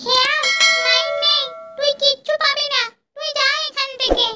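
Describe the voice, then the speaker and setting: A woman voicing a scolding witch aunt in a children's cartoon, in a shrill, exaggerated, sing-song delivery. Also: flat delivery, moderate pace, heavy background noise. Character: scolding witch aunt who beats and hands out prizes. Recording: music under the voice.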